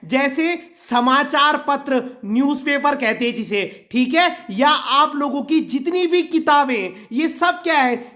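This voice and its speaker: High-voiced male teacher with a sore throat. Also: sharp tone. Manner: addresses students directly, lists points and repeats them; often checks in with "theek hai". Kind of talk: exam-prep lesson to students.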